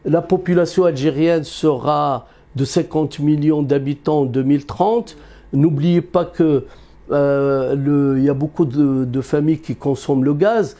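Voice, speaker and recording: Earnest halting man, mellow voice. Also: fairly high pitch, fairly even intonation. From Algeria. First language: Berber. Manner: earnest, halting with many fillers and restarts. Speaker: economist interviewee. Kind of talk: unscripted interview.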